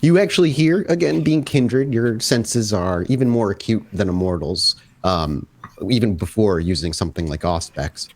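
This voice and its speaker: A man narrating a tabletop roleplaying game as storyteller, describing scenes off the cuff with pauses. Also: on the low side, animated, darkish tone.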